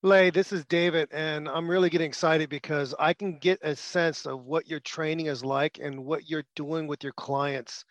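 A man hosts an interview with a high, bright-sounding voice and an enthusiastic, emphatic, almost preaching delivery. The sound is dry and close, with no room echo. He stresses his key phrases firmly. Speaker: podcast host. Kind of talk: podcast interview.

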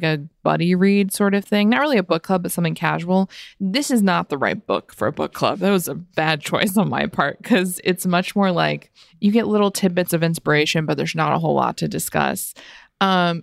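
Gravelly, low-voiced woman podcaster. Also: no room sound, studio quality. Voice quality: gravelly in the morning. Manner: chatty, laughs often, trails off with fillers. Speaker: podcast co-host. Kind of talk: casual book chat.

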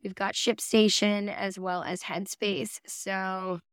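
A woman's voice: mid-range voice, flat delivery, slow pace, smooth, no echo. A woman hosting a podcast, chatting casually as she guides the discussion. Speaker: podcast host. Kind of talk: casual chat, guiding discussion.

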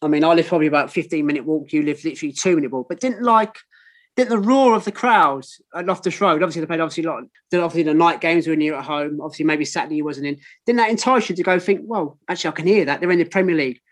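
Fast chatty london guy in his 30s hosting a footy podcast, high-ish voice. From London, England. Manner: chatty, matey, lots of ums. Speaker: podcast host. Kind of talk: casual chat.

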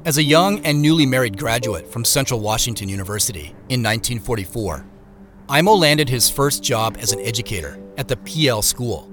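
Actor as reflective American man narrating, lively intonation. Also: mid-range voice, faint music behind, natural voice. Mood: matter-of-fact storytelling. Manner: measured, literary storytelling. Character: reflective man narrating his late-night road trip. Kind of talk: scripted audio drama narration.

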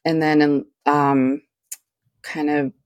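A middle-aged woman co-hosting a podcast, talking in a relaxed, meandering way with lots of fillers. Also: deep voice, slow pace, no echo. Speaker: podcast co-host. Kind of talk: casual chat.

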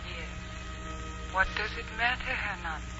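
An actress playing a devoted, tender princess in a 1940s radio romance, speaking in a soothing, romantic manner. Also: female voice, mid-range voice, expressive, takes it slowly, sharp tone. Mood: gentle reassurance. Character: tender, devoted Aztec princess, lost beloved returning. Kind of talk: 1940s radio drama acting. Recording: muffled 1940s radio broadcast, music behind.

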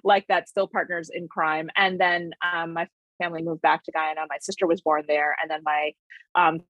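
A woman's voice: sits low, little pitch movement, normal speed, no room sound, dull audio. A woman in her forties from Connecticut, talking as an interview guest in a reflective way, with frequent fillers and light laughs. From Connecticut, USA. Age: 40s. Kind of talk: conversational interview.

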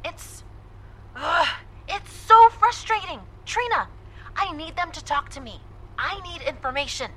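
Very high, frustrated moon-base organizer. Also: female voice, expressive, measured pace, a bit bright, a little hiss or hum, full character voice, rough recording. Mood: frustrated, urgent. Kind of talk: audio drama dialogue.

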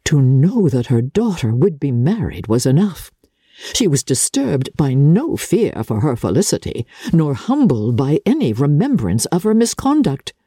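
A woman narrating a classic novel as a dramatic audiobook, with measured and expressive storytelling. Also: low-pitched, slightly rough, dry recording, clean studio sound.

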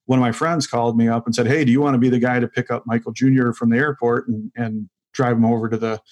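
A man's voice: mid-range voice, steady tone, fast, a little mellow. Man in his forties, comedian guest, casual interview chat, rambling with ums and restarts. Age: 40s. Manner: rambling, many fillers and restarts.

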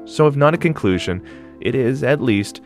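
A man's voice: mid-range voice, animated, moderate pace. A man hosting and narrating a documentary podcast in a somber, reflective scripted voice. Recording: narration with faint music bed.

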